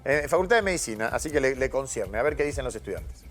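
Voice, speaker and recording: A man's voice: medium pitch, animated, fast talker, soft background music. An Argentine man speaking as a news host in an informal studio discussion, with a colloquial, slangy manner.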